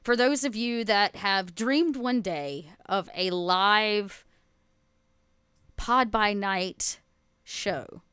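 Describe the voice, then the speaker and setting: A woman's voice: medium pitch, drawn-out words, fairly bright tone, smooth, no echo, studio quality. A woman chatting playfully as she makes announcements on a casual live stream. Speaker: cast member making announcements.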